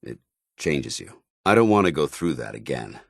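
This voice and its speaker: An actor playing a stern, loyal, idealistic soldier companion in a video game, with a clipped, earnest, authoritative military delivery. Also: male voice, a bass voice, big pitch swings, normal speed, gravelly, dry recording, clean studio sound, full character voice. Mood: sombre, confiding. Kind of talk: video game character dialogue.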